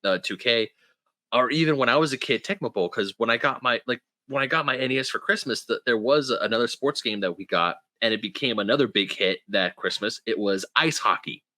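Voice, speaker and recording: A man in his thirties hosts a casual gaming podcast, his bright, forward voice tumbling through stories full of restarts and fillers. The sound is close and dry, with no room around it. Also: mid-range voice, brisk, no echo. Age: 30s. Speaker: podcast host. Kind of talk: casual chat.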